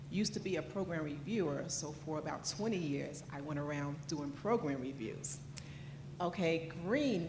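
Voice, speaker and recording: A woman training officer from New York, introducing herself in a matter-of-fact way. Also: low-pitched. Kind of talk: self-introduction at a conference. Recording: echoey conference hall with noise.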